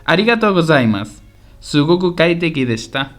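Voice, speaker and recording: A man playing a polite, eager tourist from Spain in a scripted language-lesson radio drama, speaking in simple, clear sentences. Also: on the high side, sing-song, a little fast, faint music behind. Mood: polite, friendly. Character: polite, eager Spanish tourist visiting Osaka.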